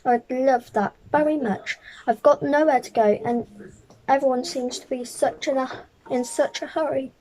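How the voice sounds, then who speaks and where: A small, courteous storybook bear played by a woman reading aloud, earnest and well-mannered. The voice is rather high and mellow. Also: fairly even intonation, moderate pace, lightly acted, rough recording. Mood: eager, grateful. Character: small, polite young bear, earnest stowaway from Peru.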